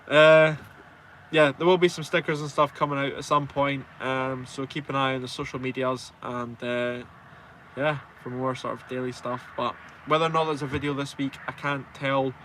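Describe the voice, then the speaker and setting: Scottish man, 20s, mid-pitched voice, informal live-streamer chat with self-mocking banter, some vehicle noise behind. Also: little pitch movement, normal speed, a bit bright, low-quality recording. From Scotland. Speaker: truck driver and live stream host. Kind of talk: casual live stream chat, answering viewers' comments.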